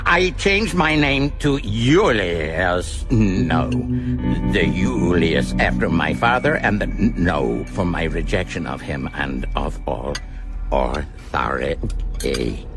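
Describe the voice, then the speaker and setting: An actor playing a cold, boastful, menacing criminal mastermind in a radio drama, with theatrical, deliberate delivery. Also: male voice, deep voice, animated, loud music behind the voice, exaggerated voice. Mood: proud, chilling. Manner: slow, deliberate, theatrical, grandiose.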